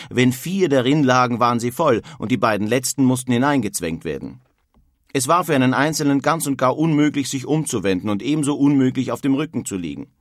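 A male actor voices a weary, sardonic emigrant of centuries past in a radio play. He recounts his hardships in measured, expressive phrases with a wry bitterness. His mid-pitched voice is recorded close and dry. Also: dry recording, lightly acted. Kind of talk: dramatic reading of historical letters in radio feature.

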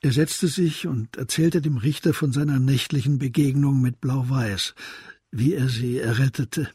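A man's voice: medium pitch, measured pace, dark tone, breathy edge, no echo, clean studio sound. A man narrating a scripted crime story for a radio drama.

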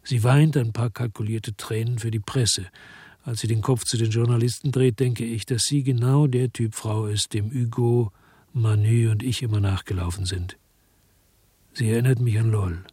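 Man acting a husky, weary hard-boiled investigator. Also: mid-range voice, fairly even intonation, moderate pace, darkish tone, dry recording, close to an everyday voice. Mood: grim, reflective. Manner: terse, laconic. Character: weary, hard-boiled male investigator telling his story. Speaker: first-person narrator and lead actor. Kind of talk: German radio drama narration and dialogue.

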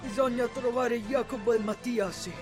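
A high-pitched male actor's rebel fighter, loyal and fierce. The radio drama performance plays over clearly audible music. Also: fairly even intonation, unhurried. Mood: tense. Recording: music behind the voice.

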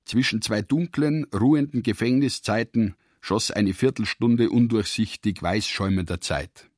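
Measured man narrating, dry and close. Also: fairly low pitch, dry recording. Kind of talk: literary radio drama narration.

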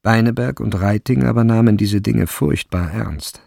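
A man narrating a literary radio drama in a measured, deliberate way. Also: lowish voice, gravelly, warm tone, no echo, studio quality.

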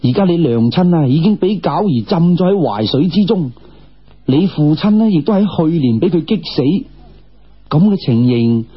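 A calm, wise immortal woman, played by a male storyteller, counsels in Cantonese in a storybook. The voice is very husky, measured and admonishing, with a slightly muffled sound. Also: a little high. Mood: reproachful.

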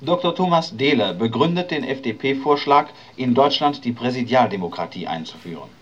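Male announcer, mid-pitched, scripted news-report narration, slightly dull lo-fi sound. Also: animated, normal speed. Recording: slightly dull, limited bandwidth.

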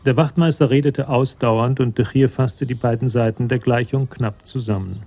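A man's voice: mid-range voice, fairly even intonation, moderate pace, mellow. A man narrating a German radio play in a sober, composed literary style.

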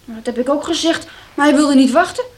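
High-voiced young servant girl played by a woman. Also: fast, darkish tone, lightly acted. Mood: pert, defensive. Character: young female bakery servant, pert and deferential. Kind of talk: Dutch radio play acting.

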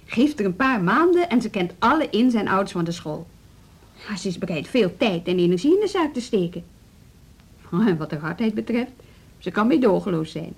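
An actress playing an assertive, brisk woman on a music school's leadership team in a radio drama, with a Netherlands Dutch accent. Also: mid-range voice, big pitch swings, vocal fry, husky, exaggerated voice. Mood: eager, persuasive.

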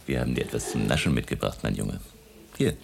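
An actor playing a grave, earnest older male professor in a radio play, gravelly and deliberate. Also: extremely low pitch, expressive, a little hiss or hum, heavily acted. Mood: gentle. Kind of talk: German radio play acting. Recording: studio radio play with music behind.

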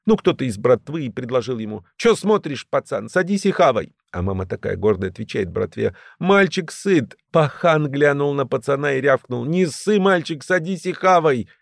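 Jumpy prickly crook, voice pitched way up, snappy street slang, audiobook. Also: male voice, lively intonation, moderate pace, creaky, few pauses, no room sound, clean studio sound. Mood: jokey. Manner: snappish, agitated slangy patter. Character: excitable, prickly, loyal small-time crook.

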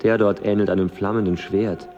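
A very deep, dark male voice of an actor plays a skeptical, chivalrous knight. His delivery is formal and deadpan, as in a literary radio drama, with soft music behind. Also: a little fast, muffled recording, lightly acted. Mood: dry, skeptical.

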